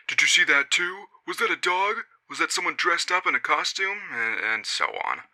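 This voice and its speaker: A man reads as a male witness retelling a strange encounter his friends had. His voice is sharply bright and tense, with a middle pitch. He tells it in a measured, puzzled way. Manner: measured storytelling, puzzled and skeptical. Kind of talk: first-person reading of a witness account.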